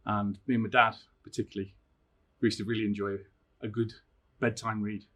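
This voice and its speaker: A middle-aged man, a teacher, talking about books and reading a story aloud with expressive, dramatic emphasis. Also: fairly low pitch, brisk. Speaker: science teacher reading a favourite book aloud. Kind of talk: personal introduction and storybook reading.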